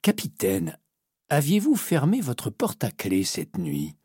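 A man's voice: medium pitch, huge pitch swings, takes it slowly, dark tone, breathy edge, dry recording, clean studio sound, voice pushed up, full character voice. A man voicing a clever, polite male detective who asks courteous, probing questions. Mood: inquisitive. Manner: courteous and inquisitive.

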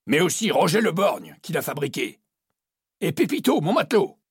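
A man voicing a blustering, gruff pirate captain who shouts and swears old-fashioned oaths. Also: a little high, wildly swooping pitch, moderate pace, no echo, clean studio sound, pitched above the performer's own voice, exaggerated voice.

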